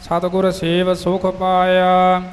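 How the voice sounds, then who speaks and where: A man reciting scripture in a slow, measured, sing-song chant. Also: high-pitched, monotone, long reverb tail.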